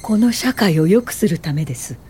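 A woman's voice: lowish voice, animated, mellow, a little hiss or hum, natural voice. An actress in a radio drama playing an earnest, principled woman educator and social worker, speaking politely and thoughtfully. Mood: sincere. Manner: polite, thoughtful, measured delivery. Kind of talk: radio drama dialogue and reflective first-person narration.

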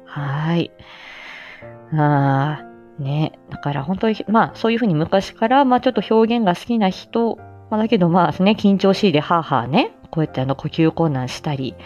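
Lowish voiced lady chatting on her stream, kinda unsure and self-deprecating, muffled audio w music behind. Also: lively intonation, normal speed, a little mellow. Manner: hesitant, groping for words, self-deprecating. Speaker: host of a personal audio live stream. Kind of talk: casual solo chat to listeners.

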